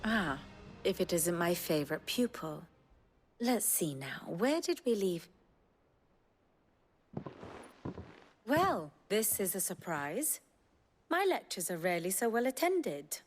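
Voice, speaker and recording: A woman playing an arch, erudite lecturer and strategist in a scripted game cutscene, speaking formally and with measured poise. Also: on the low side, sing-song, faint music behind. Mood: wry, amused. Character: wry, erudite female lecturer and political strategist. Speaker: tutor giving a briefing.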